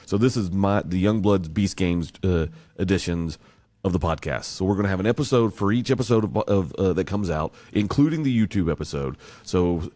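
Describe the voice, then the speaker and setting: A man's voice: low voice, a little mellow, dry recording. A man hosting his podcast, chatting casually and rambling with upbeat hype. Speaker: podcast host. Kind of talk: casual video-call podcast chat.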